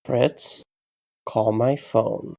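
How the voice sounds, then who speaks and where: A man's voice: mid-range voice, fairly even intonation, very slow, creaky, dark tone. A man acting in an audio drama as a weary, dryly joking young man who takes his responsibilities stubbornly. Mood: puzzled, tired. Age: young adult.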